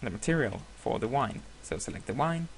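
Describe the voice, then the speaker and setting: A man whose first language is Hebrew, giving a screen-recorded software tutorial with many hesitations and self-corrections. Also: medium pitch, animated, brisk, gravelly, slight background noise. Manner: apologetic, laughs at himself.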